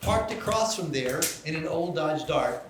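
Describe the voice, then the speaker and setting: A man narrates screenplay directions at a live table read. His voice is fairly high for a man, in a roomy, echoing space with clear background noise. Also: expressive, measured pace. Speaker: narrator reading stage directions. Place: live event room.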